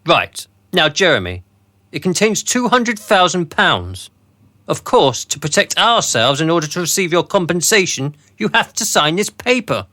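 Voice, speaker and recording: An officious, smooth-talking boss, acted by a man in a radio play. His voice is high and forceful, full of lively swings, with condescending courtesy. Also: moderate pace, no room sound. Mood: businesslike. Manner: mannered, falsely courteous, condescending. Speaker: actor in a radio drama. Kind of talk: radio drama acting.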